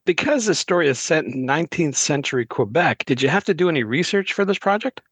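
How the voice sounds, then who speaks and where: Fast-talking, husky male interviewer. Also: fairly high pitch, animated, a bit bright. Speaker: podcast host, interviewer. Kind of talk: conversational interview questions.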